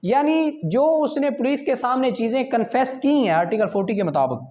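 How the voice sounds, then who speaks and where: A man with a Pakistani accent lecturing law students, reading formal passages slowly and deliberately, then explaining them didactically. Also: very high voice, animated, darkish tone.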